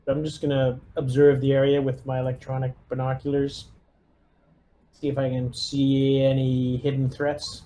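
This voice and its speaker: A man's voice: mid-range voice, monotone, moderate pace, mellow, a little hiss or hum. A man chatting casually as he plays a tabletop roleplaying game, giving orders while thinking aloud. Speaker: player leading a tabletop game squad.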